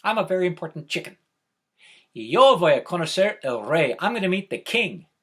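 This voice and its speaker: A man's voice: high-pitched, big pitch swings, brisk, pushed and bright, breathy edge, voice pushed up, heavily acted. A man voicing a tiny, boastful one-legged boy chicken in a storybook tale, with a bold, self-important manner.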